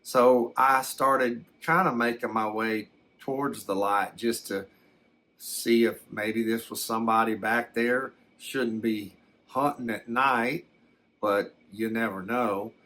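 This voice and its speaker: Casting: male performer as folksy, plainspoken ASMR storyteller, mid-pitched, very slow and halting delivery. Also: fairly even intonation, bright, forceful tone. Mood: musing, matter-of-fact. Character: folksy man recounting his alien abduction. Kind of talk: unhurried ASMR first-person storytelling.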